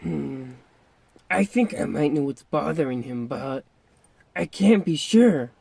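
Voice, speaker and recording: Casting: grumbly but kindly puppet sidekick, acted out, husky, slow drawl, dry close sound. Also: mid-range voice, lively intonation, warm tone, dry recording, exaggerated voice. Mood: curious, caring. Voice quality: raspy, creaky. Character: wisecracking, grumbling, kindly packrat puppet pal. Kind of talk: children's puppet show voice acting.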